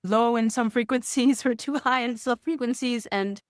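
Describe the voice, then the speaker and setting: Higher-voiced woman, enthusiastic and chuckly. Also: normal speed, no echo, clean studio sound. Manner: enthusiastic, chuckles often while explaining. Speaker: music course student giving a testimonial. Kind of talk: conversational interview answers.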